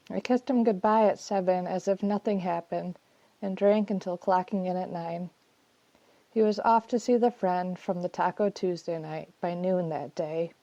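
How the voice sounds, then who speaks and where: A woman's voice: medium pitch, dark tone, no room sound. A woman reading her own personal essay as scripted narration, in a dull lo-fi recording.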